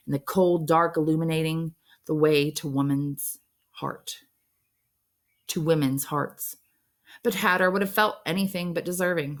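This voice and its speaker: A woman with a low-pitched voice reads a novel aloud. She narrates in the manner of an English teacher sharing a story, following the written prose.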